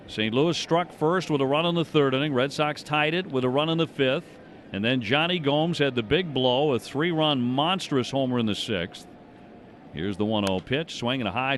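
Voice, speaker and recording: A man calling live baseball play-by-play on the radio in descriptive, clipped phrases that follow the action. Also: medium pitch. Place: ballpark broadcast position. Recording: noisy outdoor stadium ambience. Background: steady outdoor stadium noise.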